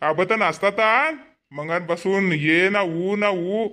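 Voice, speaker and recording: A man's voice: high voice, slow pace, bright, forceful tone, full character voice. A man voicing a homely village husband and headman in a cartoon comedy, blunt in manner, with a Powari accent. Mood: impatient, hungry. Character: impatient but agreeable village husband and headman.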